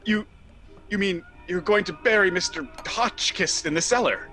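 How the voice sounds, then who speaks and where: An actor playing a frantic, appalled nephew in a theatrical radio comedy, exasperated and stammering. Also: male voice, high-pitched, normal speed, pushed and bright, faint music behind. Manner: exasperated, stammering, wildly exclaiming. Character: frantic, appalled nephew discovering his aunts' crimes.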